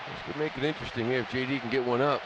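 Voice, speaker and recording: A man's voice: mid-range voice, fairly even intonation, racing, background noise. An American man giving conversational analysis during a live baseball radio broadcast. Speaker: baseball color commentator. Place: stadium broadcast booth. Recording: muffled radio broadcast, loud stadium ambience. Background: loud ballpark ambience.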